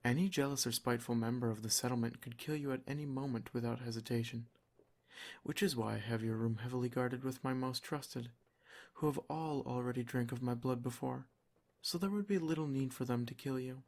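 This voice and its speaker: A man playing a doting, protective vampire lord in an ASMR roleplay, soft-spoken, formal and reassuring. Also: medium pitch, fairly even intonation, a little fast, close to an everyday voice. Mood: grave, concerned.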